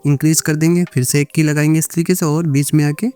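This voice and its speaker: Indian man, slightly high pitch, step-by-step tutorial narration, repetitive instructional phrasing, English words mixed in, faint music behind. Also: flat delivery, quick pace, warm tone. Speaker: video editing tutorial creator.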